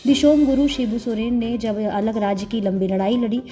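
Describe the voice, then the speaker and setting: A woman's voice: a little high, fairly even intonation, normal speed, warm tone, faint music behind. A woman delivering scripted news narration as a news narrator.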